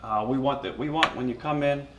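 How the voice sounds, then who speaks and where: A man's voice: mid-range voice, a little fast, roomy sound, noisy background. A man in his thirties, a bakery owner appearing as a guest, talking earnestly and proudly about his business. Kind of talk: casual interview chat. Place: small studio with banners.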